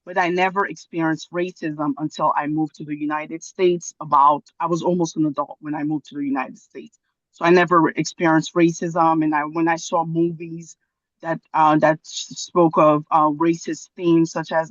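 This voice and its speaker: A woman from Haiti giving a long comment on a video call, pausing often with fillers and restarts. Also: low-pitched, level tone, dry recording, muffled recording. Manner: hesitant, many fillers and restarts. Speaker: audience member commenting.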